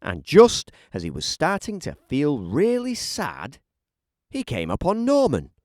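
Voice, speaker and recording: A man narrating a children's picture book as an animated bedtime read-aloud. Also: on the high side, unhurried, no echo, clean studio sound. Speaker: storybook narrator.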